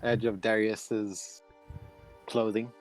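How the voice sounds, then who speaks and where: A man's voice: lowish voice, flat delivery, slow pace, rough recording. A man casually improvising what his character does in a tabletop game. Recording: music playing behind.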